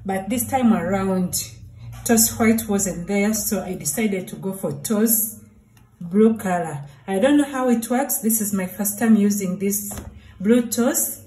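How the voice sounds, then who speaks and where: Slow-talking Ugandan woman vlogging chores. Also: medium pitch, mellow, poor audio. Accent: Ugandan English. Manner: slow, chatty, talking to viewers as she works. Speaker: vlogger doing laundry. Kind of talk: casual narration while doing chores. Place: tiled laundry room and outdoor alley at home. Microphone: phone camera.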